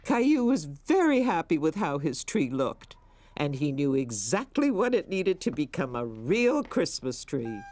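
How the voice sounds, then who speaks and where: A woman narrating a preschool cartoon like a kindly storybook reader for small children. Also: fairly low pitch.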